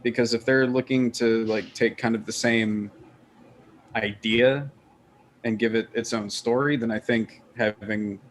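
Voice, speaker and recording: A man's voice: medium pitch, fairly even intonation, unhurried, a little hiss or hum. A man talking casually as a podcast panelist, feeling his way through points in loose phrasing. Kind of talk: casual group discussion.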